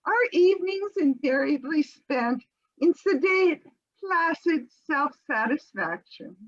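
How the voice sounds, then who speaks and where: A woman reads a breezy, evasive housewife who chatters airily. Her voice sits high and swoops widely, with a quavering, giggly edge. The sound is dull and lo-fi, like a home video call. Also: animated, slow. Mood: airy. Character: flighty, evasive middle-aged housewife, breezily unconcerned. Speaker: reader in an amateur readers theater performance. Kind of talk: comic readers theater scene read over a video call. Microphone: home video call mic.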